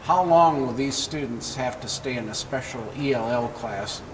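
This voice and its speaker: A man's voice: medium pitch, moderate pace, distant mic. Male interviewer, a bit off-mic. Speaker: interviewer, show host. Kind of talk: interview questioning. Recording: off-mic, clear background noise.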